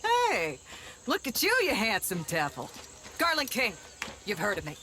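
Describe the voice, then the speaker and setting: A man's voice: sits high, wildly swooping pitch, bright, forceful tone, full character voice. An actor playing a flamboyant male film director in a game cutscene, theatrical and gushing. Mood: flattering showman. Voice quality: theatrical, gushing, fast-shifting. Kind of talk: video game cutscene voice acting.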